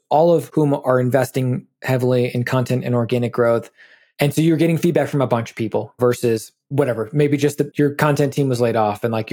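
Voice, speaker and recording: A man's voice: mid-range voice, darkish tone. A man hosting a podcast interview, talking candidly and loosely with lots of "like". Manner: candid, rambling, peppered with "like". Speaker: podcast host. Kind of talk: casual interview chat.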